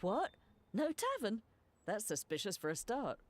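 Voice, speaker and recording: A woman's voice: mid-range voice, huge pitch swings, measured pace. A woman playing a wry, sceptical adventurer in a fantasy video game. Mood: sarcastic. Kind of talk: dramatic video game dialogue.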